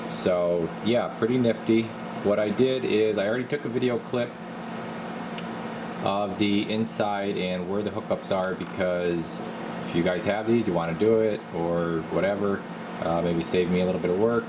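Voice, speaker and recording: Man, low-pitched voice, casual rambling technician demo, clear background noise. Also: steady tone, normal speed. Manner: informal, rambling, hands-on explaining. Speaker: radio repair technician and video host. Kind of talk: casual how-to demonstration.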